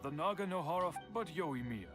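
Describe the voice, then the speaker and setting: A man voicing a patient, stern old master craftsman in an anime game, speaking formally and with gentle admonishment. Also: on the high side, lively intonation, fast talker, close to an everyday voice. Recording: music behind the voice.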